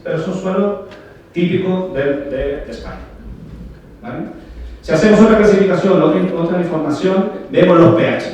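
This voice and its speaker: Male speaker, Chilean Spanish accent, conference lecture, keeps checking in with the audience; fairly high voice, recorded far off in a very echoey hall. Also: moderate pace, darkish tone, slight background noise. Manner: explanatory, frequent "¿vale?" checks, rhetorical questions to the audience. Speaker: agronomy speaker. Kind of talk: technical conference talk.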